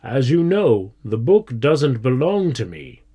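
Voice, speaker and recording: Man acting a worried, earnest schoolteacher, very measured. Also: mid-range voice, very animated, dark tone, husky, highs cut off, full character voice. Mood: worried, pleading. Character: worried male schoolteacher, pleading, mild and earnest.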